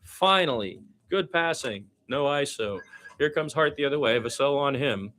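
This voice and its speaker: A man's voice: mid-range voice, expressive, moderate pace, pushed and bright. A man doing informal solo basketball play-by-play commentary, conversational and given to chatty asides.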